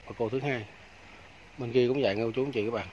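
A man's voice: mid-range voice, steady tone, fast talker, darkish tone, slight background noise, poor audio. Chatty man touring a farm. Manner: chatty, keeps addressing viewers as aunts, uncles and friends. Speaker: vlogger giving a farm tour. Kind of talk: casual walking tour narration. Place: outdoors on a rural farm by fish ponds.

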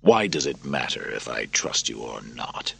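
A man voicing a bitter, weary enslaved gladiator who speaks low, slow and grim, with a husky voice. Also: heavily acted.

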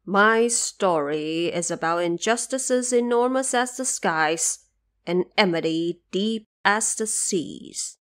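A woman's voice: medium pitch, lively intonation, slow. Earnest, humble young male monk for an audio drama, read by a woman. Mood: earnest, pleading. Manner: humble, pleading. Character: eighteen-year-old male monk, earnest and grieving.

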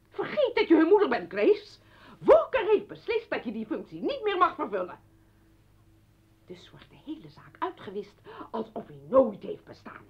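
A woman's voice: fairly high pitch, slightly rough, exaggerated voice. An actress in a radio play as a defiant, indignant mother confronting her estranged husband with biting, accusing sarcasm. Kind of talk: dramatic radio play acting. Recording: dull lo-fi old radio recording.

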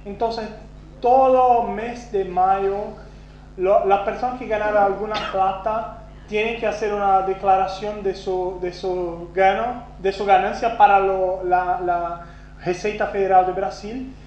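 A Brazilian man whose first language is Portuguese, giving a conference talk with a Brazilian accent, self-deprecating and halting, with many restarts. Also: high-pitched, fairly even intonation. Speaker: conference speaker. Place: conference hall. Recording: roomy, dull lo-fi sound with faint hum.

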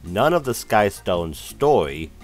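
A man's voice: on the low side, sing-song, slow, faint music behind. A man giving dry, skeptical scripted narration. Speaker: debunking narrator.

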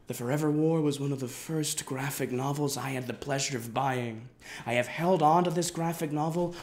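A man performing a melodramatic reading as a self-important, deluded amateur screenwriter, with pompous, overwrought delivery and dramatic pauses. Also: a little high. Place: indoors in a wood-panelled room.

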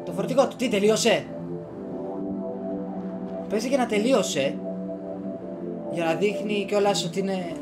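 A man's voice: sits high, pauses often. A young adult man giving casual let's play commentary as host of a gaming video. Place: small bedroom. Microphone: desk USB microphone, headset worn. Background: game music.

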